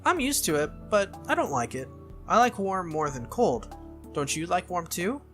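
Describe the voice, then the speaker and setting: Childish noble girl voiced by a game player, playful, stubborn, raised pitch, bright tone, music behind. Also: sing-song, normal speed. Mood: innocent, happy.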